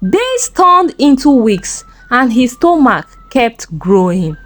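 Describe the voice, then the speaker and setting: A woman narrating a scripted folktale in a dramatic, expressive storytelling manner. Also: on the high side, slow, soft background music.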